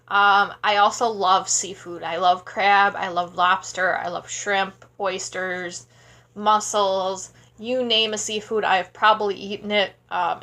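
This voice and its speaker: A woman's voice: mid-range voice, bright, forceful tone. A sleepy woman in her thirties from Wisconsin, rambling casually through a vlog Q&A at home and talking into a tablet camera. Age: 30s. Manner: rambling, chatty, many fillers. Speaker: vlogger. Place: small home room indoors. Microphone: tablet camera at close range.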